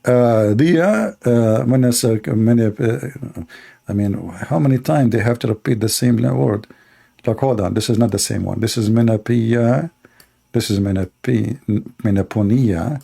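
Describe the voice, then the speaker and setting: A man hosting a livestream debate in a mellow, dark-toned voice at an ordinary middle pitch. He rambles sarcastically and mocks, stringing thoughts together with fillers. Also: unhurried, gravelly. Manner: mocking, rambling, full of fillers.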